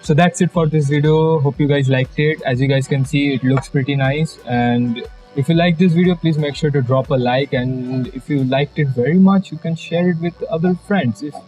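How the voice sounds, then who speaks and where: A young man from India with a Bengali Indian English accent, giving a casual, jokey software tutorial as a channel host, full of fillers and asides. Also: a little high, moderate pace, soft background music, rough recording. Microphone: budget external mic.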